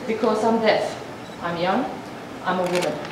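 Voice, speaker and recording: A woman voicing a formal speech to an assembly as a sign language interpreter, measured and halting, with frequent restarts. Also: on the low side. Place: large assembly hall. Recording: echoey hall from far off, noisy.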